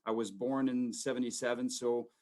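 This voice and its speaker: A man's voice: mid-range voice, level tone, moderate pace, darkish tone. Rambling man in his forties on a video call. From Quebec, Canada. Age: 40s. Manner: rambling, many fillers and restarts. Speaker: police sergeant major speaking to students. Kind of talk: informal talk to a school audience.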